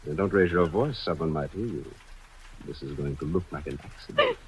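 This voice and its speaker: An actor playing a cold, commanding murderer who makes smooth threats in a 1950s radio thriller. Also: male voice, rumbling low, sing-song, brisk, fairly bright tone, heavily acted. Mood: smug menace. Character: cold, menacing male murderer holding a woman at gunpoint. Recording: dull, muffled old radio recording.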